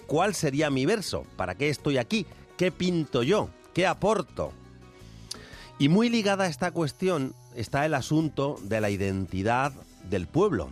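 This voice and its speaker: A man from Extremadura with a Spanish accent, hosting radio with a reflective monologue and chat. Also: mid-range voice. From Extremadura, Spain. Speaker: radio host.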